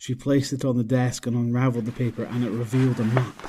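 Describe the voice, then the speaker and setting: A man's voice: medium pitch, little pitch movement, fast, background noise. A man reading scripted story narration as the narrator of an audio drama.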